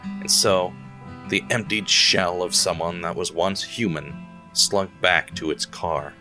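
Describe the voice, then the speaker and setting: Male narrator with a low voice, music behind. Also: fairly even intonation, slow. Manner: tense, building narration. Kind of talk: scripted dramatic narration. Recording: music underneath.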